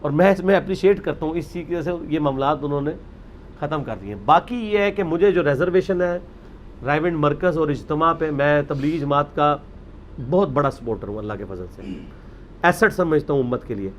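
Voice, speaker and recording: A man's voice: on the high side, brisk, a little hiss or hum. A man giving a religious talk, arguing his point with rhetorical questions and emphasis. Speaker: religious speaker. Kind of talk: lecture on religious practice.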